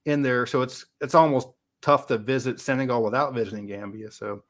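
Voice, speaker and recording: A man's voice: mid-range voice, fairly even intonation, fairly quick, a bit bright. A man with an American accent in a casual, unscripted conversation, thinking aloud and sometimes trailing off as a geography creator. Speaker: YouTube geography creator in a two-way interview.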